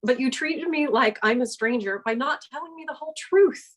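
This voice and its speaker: A woman's voice: high voice, brisk. A woman reading a hurt wife who feels shut out, accusing and wounded, at a script table read.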